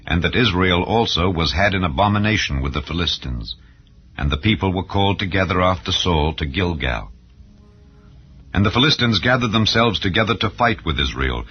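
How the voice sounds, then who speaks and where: Male Bible narrator: low-pitched, solemn and formal, dramatized reading, muffled recording with faint music. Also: slightly rough. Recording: dull, lo-fi audio. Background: background music.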